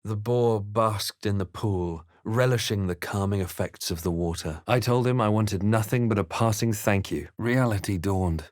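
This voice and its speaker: Man as fantasy game adventurer, lower-pitched, grave, measured, wry, literary, close dry sound. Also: dry recording, natural voice. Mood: solemn. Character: male adventurer hero, brooding, measured, wry. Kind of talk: scripted game voice lines and narration.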